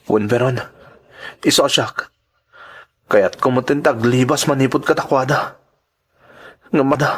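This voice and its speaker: A man's voice: mid-range voice, a little slow, long pauses, breathy edge. An actor as an earnest Filipino migrant worker, male. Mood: worried, confiding. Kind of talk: Ilocano radio drama acting.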